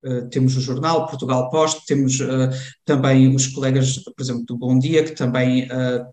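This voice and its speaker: Young man, guest chatting casually, halting and full of fillers, echoey room. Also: mid-range voice, steady tone, darkish tone. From Germany. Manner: hesitant, many fillers and restarts. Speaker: interview guest. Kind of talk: casual conversation. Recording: roomy echo.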